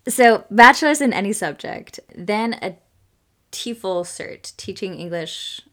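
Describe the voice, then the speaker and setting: A woman's voice: medium pitch, animated, slow pace, fairly bright tone. A young woman co-hosting a podcast, chatting casually and rambling with lots of fillers and laughter. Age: young adult. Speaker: podcast co-host. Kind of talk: casual chat.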